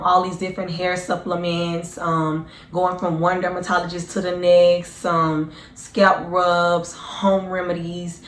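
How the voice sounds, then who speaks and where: A woman in her twenties with a hoarse, deep, raspy voice, chatting earnestly and casually to her viewers as a vlogger. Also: fairly bright tone, echoey room. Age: 20s. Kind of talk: casual personal storytelling to camera.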